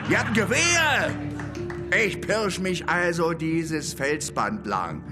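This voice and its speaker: A man's voice: fairly high pitch, big pitch swings, slow pace. An actor playing a boastful, coarse Wild West lawman telling war tales with crude swagger in a German radio play. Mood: gritty, swaggering. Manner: crude, swaggering storytelling with cursing. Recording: music bed behind the voice.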